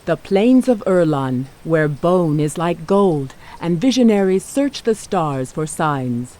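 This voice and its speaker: A woman playing a solemn storyteller, narrating a fantasy video game in a grand, measured way. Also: on the low side, sing-song, mellow. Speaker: campaign narrator. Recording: noisy game audio with hiss.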